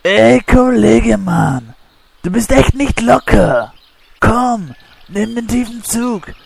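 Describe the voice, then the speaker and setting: A man's voice: very high voice, lively intonation, slow, vocal fry, slightly rough, heavily acted. A man playing a laid-back stoned troll who drawls in hippie slang in a parody fantasy audio drama. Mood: stoned, mellow. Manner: drawling hippie slang, ending phrases on "Mann". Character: laid-back stoned male troll smoking a water pipe.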